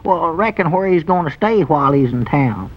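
A puzzled, literal-minded country sidekick in a 1940s-style radio comedy. His voice sits fairly high for a man, with a hesitant rural Southern American twang and folksy wording. It comes through as dull, lo-fi vintage broadcast sound. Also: expressive, a little fast. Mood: practical advising.

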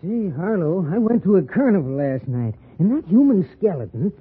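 American male ad sidekick, 1950s radio patter, animated, fairly high, muffled old broadcast. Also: normal speed, breathy edge. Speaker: commercial sidekick setting up the pitch.

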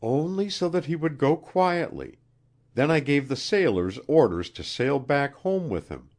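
A man voicing an anxious, earnest young queen in a storybook reading, halting and pleading. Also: a little high, big pitch swings, a little mellow, voice pushed up.

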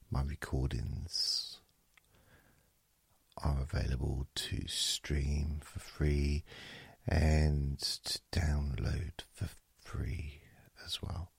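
Very deep, slow, creaky-voiced man. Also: no room sound. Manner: very slow, hushed delivery with long pauses. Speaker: hypnosis podcast host. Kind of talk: slow relaxation hypnosis, soothing.

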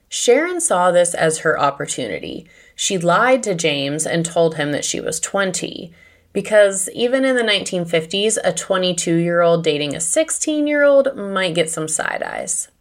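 An American woman hosting a podcast, narrating true crime in a conversational, scripted style. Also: on the low side. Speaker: podcast host.

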